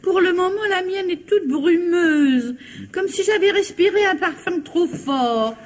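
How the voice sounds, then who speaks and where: High, dreamy older mother. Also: a little slow. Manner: dreamy, fluttery. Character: dreamy, dazed, thirsty mother waking up. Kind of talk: French radio comedy-mystery acting.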